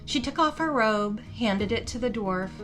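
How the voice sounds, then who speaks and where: Woman narrating fantasy over clear music. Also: a little high, animated, normal speed. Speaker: narrator of a fantasy fiction podcast. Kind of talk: scripted story narration with character dialogue. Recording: music behind the voice. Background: instrumental music.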